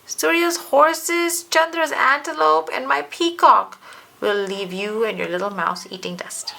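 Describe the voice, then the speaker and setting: A woman voicing a mocking, boastful older brother, a young male god, with taunting, drawn-out emphasis in a storybook reading. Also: sits high, fairly even intonation, gravelly, sharp tone, voice pushed up, heavily acted.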